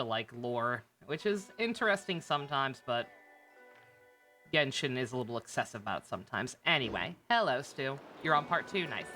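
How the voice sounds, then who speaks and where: A woman's voice: rumbling low, sharp tone. A woman livestreaming a game, chatting casually with viewers in a sweary, wry, sarcastic way. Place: indoor game streaming setup, game music behind.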